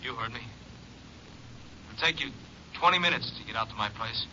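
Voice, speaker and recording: An actor playing a despairing man calling the sheriff in a 1940s radio drama, speaking hushed and flat. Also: medium pitch, moderate pace, a little hiss or hum. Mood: hopeless. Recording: muffled old radio transcription.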